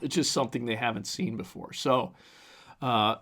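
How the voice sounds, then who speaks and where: Man, podcast host, hoarse cracking voice, casual rambling, fillers, reading aloud. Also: medium pitch, moderate pace, bright, forceful tone. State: hoarse, strained voice. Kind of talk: casual solo podcast commentary.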